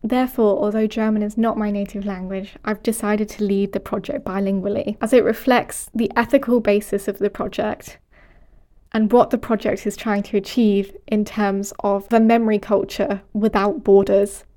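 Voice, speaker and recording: A young woman hosting a podcast, reading a reflective scripted closing in a measured, earnest way. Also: medium pitch, flat delivery, warm tone. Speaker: podcast host and project researcher.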